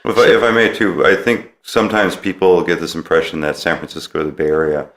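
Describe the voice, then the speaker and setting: Male podcast host, deep voice, conversational interviewer who words his points tentatively. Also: flat delivery, brisk, a bit bright.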